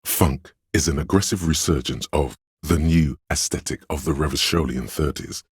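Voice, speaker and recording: An actor voicing an erudite, worldly inner voice of knowledge in a video game, narrating facts in a measured, dry, scholarly way. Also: male voice, extremely low pitch, husky, dry recording, full character voice.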